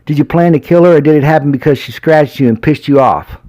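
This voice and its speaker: A man voicing a gruff older sheriff who taunts and accuses a suspect during an interrogation. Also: medium pitch, moderate pace, dark tone, no echo, pitched above the performer's own voice, close to an everyday voice. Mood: accusing. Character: gruff older male small-town sheriff, menacing interrogator.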